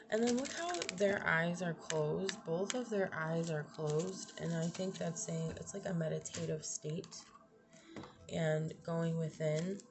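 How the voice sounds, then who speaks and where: A young woman with a slightly raspy voice doing a casual tarot reading, thinking aloud, trailing off and restarting her sentences. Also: mid-range voice. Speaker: tarot reader. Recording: audible background noise.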